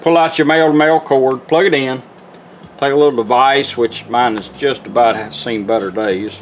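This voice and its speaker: A man's voice: mid-range voice, fairly even intonation, normal speed, rough recording. A man giving a casual, folksy product demonstration as he walks through the controls of a device. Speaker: radio restorer demonstrating a product. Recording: music playing from a radio behind. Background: radio music with guitar.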